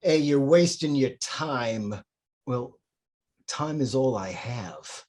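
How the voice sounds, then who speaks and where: A man playing a troubled, gentle priest in a low-key way for a screenplay table read. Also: expressive, slow pace. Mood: subdued, sincere. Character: troubled, subdued male priest, gentle and calming.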